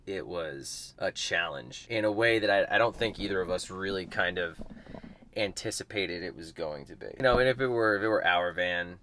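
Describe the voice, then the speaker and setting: A man's voice: sits low, normal speed. A man vlogging casually from inside a moving vehicle, rambling loosely and swearing offhandedly. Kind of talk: casual on-the-road chat to camera. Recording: loud road and engine noise. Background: car noise.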